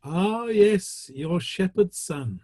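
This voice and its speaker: A man in a play reading, playing a genial, teasing Victorian theatre manager with a husky voice. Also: high voice, expressive, drawn-out words, dark tone, no echo, exaggerated voice.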